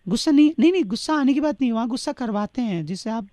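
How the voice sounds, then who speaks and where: A woman's voice: a little high, animated, very fast, dark tone, dry recording. A woman speaking as a polite, persuasive sales caller, explaining things conversationally. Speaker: phone caller posing as a sales agent. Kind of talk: conversational prank sales call.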